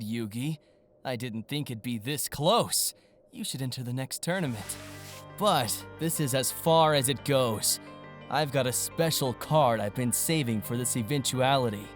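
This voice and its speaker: An actor playing an arrogant, gloating young male card-game villain in an anime-style audio drama, theatrical and taunting, swinging from sly murmurs to shouts. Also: on the high side.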